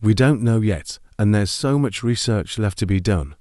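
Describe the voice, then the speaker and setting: A secretive male investigator, acted by a man, explains things informally in a lowish, mellow voice. It is a dry-sounding amateur horror monologue, delivered like a confidential recorded briefing. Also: animated, normal speed, no room sound, natural voice. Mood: explanatory.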